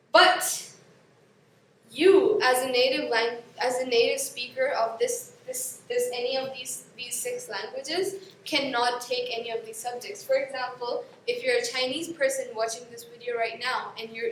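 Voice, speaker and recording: A woman's voice: on the high side, slight background noise. A young woman from Pakistan, a native Urdu speaker, presenting course registration to students in a classroom, chatty and often correcting herself. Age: young adult. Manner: chatty, explains with examples, self-corrects often. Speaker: student guide. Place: classroom in front of a screen. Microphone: far camera. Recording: echoey classroom from far off.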